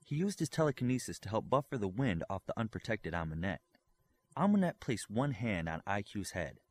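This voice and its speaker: A man's voice: on the low side, big pitch swings, fairly quick. A man reading a scripted science fiction story aloud as an audiobook narrator. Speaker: audiobook reader.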